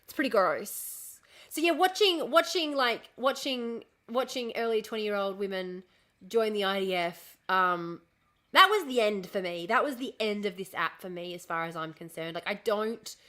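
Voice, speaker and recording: A young woman chatting on a casual live stream, ranting and swearing freely while her voice is going hoarse. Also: medium pitch, animated, normal speed, a bit bright. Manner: ranting, lots of swearing and restarts. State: losing her voice, coughing. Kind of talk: casual live-stream chat reading comments.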